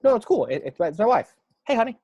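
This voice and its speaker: A man's voice: high-pitched, very animated, fast, darkish tone, breathy edge, exaggerated voice. A man playing a nervous, goofy young speedster superhero in a film-script table read, stammering and sheepish. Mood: flustered, sheepish.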